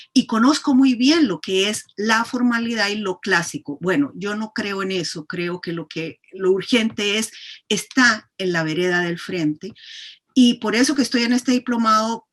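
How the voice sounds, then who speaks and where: A middle-aged woman from Chile speaks in a class held over a video call. She picks her words slowly and fills the gaps with hesitant pauses, and her voice sits at a mid pitch. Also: a bit bright. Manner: thoughtful, many hesitations. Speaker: course participant. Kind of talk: online class introduction.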